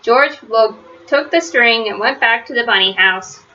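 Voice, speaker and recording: A woman with a bright, fairly high voice reads a children's storybook aloud. She phrases each sentence simply and deliberately, leaning on key words for young listeners. The sound is slightly dull and lo-fi, like a home recording. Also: a little hiss or hum. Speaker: storybook reader. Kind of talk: children's read-aloud narration.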